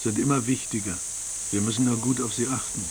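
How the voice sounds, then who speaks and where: A man reading a voice-over translation in a calm, measured way. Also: mid-range voice, background noise.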